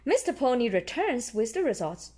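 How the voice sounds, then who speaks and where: A woman storyteller reading children's picture books aloud in an expressive, deliberate way, adding her own small asides. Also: on the high side. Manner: expressive, deliberate delivery for toddlers. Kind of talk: children's read-aloud narration with ad-libbed asides.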